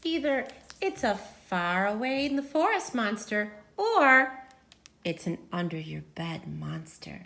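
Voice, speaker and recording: A woman reads a children's story in a high, bright voice. The delivery is theatrical and unhurried, with dramatic pauses, hushed setups and sudden emphatic swells. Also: big pitch swings. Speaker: teacher reading a picture book aloud.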